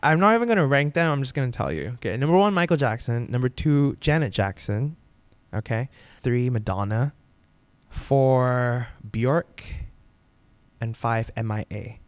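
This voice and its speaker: Radio dj guy chatting on a podcast, super expressive, dry close sound. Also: mid-range voice, moderate pace, clean tone, dry recording. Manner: animated, expressive storyteller. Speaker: radio DJ, guest. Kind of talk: casual podcast interview.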